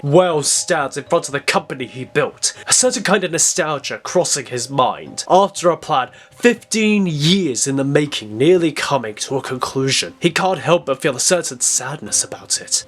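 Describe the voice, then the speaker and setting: A man's voice: fairly high pitch, fairly bright tone. A man giving dramatic scripted narration as the storyteller of an audio drama.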